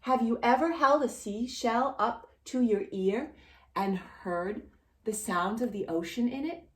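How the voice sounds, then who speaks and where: A woman reading a picture story aloud to young children as a storyteller, playful and expressive, speaking directly to the child with questions and exaggerated reactions. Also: on the high side, unhurried. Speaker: children's storyteller and channel host.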